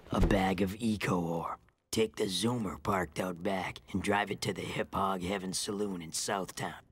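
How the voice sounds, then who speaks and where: A gruff rebel boss from a video game with a very low, husky voice. He gives orders in short, curt sentences and sounds scornful of the people he sends out. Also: fairly bright tone, full character voice. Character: gruff male rebel leader giving orders. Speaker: game character.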